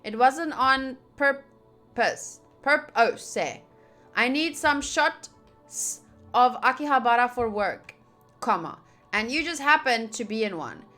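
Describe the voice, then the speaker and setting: Female playthrough host, bright and fairly high, chatty live commentary mixed with read-aloud text, music underneath. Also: lively intonation, takes it slowly. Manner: chatty, addresses viewers, comments between readings. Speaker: streamer playing a visual novel. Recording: game music behind the voice.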